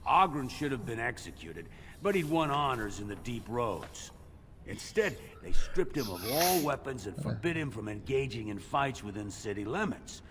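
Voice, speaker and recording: Man acting a haughty, lively-pitched dwarf townsman. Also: normal speed, noisy background, lightly acted. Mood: disdainful gossip. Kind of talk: fantasy game dialogue.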